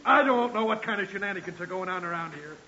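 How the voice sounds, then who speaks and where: An actor playing a gruff, indignant American policeman barking impatiently in old-time radio comedy. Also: high voice, animated, fast, bright, forceful tone, a little hiss or hum. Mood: stern, suspicious. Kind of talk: 1940s radio comedy acting. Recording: muffled old radio with faint audience.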